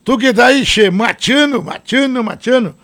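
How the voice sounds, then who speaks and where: A man voicing a boisterous southern cowboy who shouts loud folksy greetings. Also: extremely high pitch, moderate pace, voice pushed up. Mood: rowdy.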